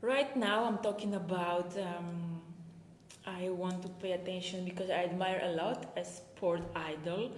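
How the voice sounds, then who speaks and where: A woman's voice: fairly low pitch, steady tone, measured pace, a little hiss or hum. Greek woman coaching on a livestream. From Greece. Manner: hesitant, many filler sounds. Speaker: performance coach. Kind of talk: live-stream talk to viewers. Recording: echoey room with long reverb.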